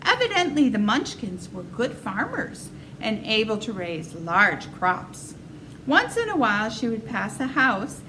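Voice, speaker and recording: Higher-voiced woman reading a children's story. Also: fairly bright tone, slight background noise, low-quality recording. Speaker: storybook reader. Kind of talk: read-aloud children's story narration.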